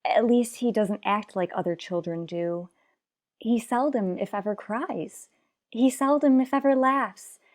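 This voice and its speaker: Actress playing an anguished wife and mother, mellow voice. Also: a little high, close to an everyday voice. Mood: troubled, pleading. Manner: earnest, measured, dramatic pauses. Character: anguished, unhappily married mother in a soap opera. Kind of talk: radio soap opera acting.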